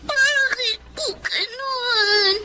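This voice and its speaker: A performer playing a sad, sickly Filipino child in a radio drama, tearful, plaintive and halting. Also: very high voice, heavily acted. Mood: tearful, bewildered. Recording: very noisy ambient drama soundtrack.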